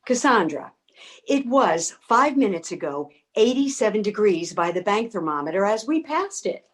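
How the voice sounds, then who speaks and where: A woman's voice: mid-range voice, sing-song, normal speed, natural voice. A woman playing a bossy, practical older woman in a comic readers theater piece, impatient and scolding but caring underneath. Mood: bossy, dry. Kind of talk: comedic readers theater acting.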